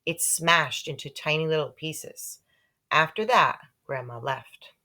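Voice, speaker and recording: A woman narrates a children's story as a read-aloud. Her voice sits slightly low but moves around a lot, giving the telling an expressive, animated shape. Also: unhurried. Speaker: story reader.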